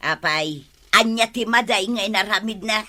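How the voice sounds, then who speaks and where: A woman's voice: medium pitch, moderate pace, bright, forceful tone. A woman playing a nagging, exasperated village wife in an Ilocano radio comedy drama. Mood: weary, reproving.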